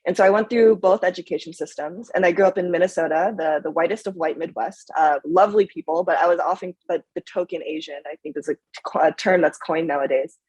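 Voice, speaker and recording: A woman's voice: lowish voice, fairly quick, hardly any pauses, a little mellow, breathy edge, dry recording, rough recording. A woman in her twenties, born in Japan with Japanese as her first language, speaking as a guest on a panel in an open, reflective way. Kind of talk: casual panel talk.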